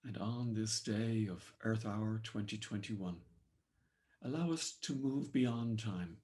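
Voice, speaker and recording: A man with a low-set voice and an Irish accent guides a meditation. His phrasing is solemn and poetic, measured out phrase by phrase as he gives gentle instructions. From Ireland.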